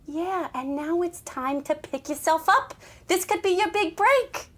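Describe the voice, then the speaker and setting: A woman's voice: extremely high pitch, animated, unhurried. An actress playing a doting, starstruck older mother in an audio drama, nagging and insistent with a dramatic streak. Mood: nagging, encouraging.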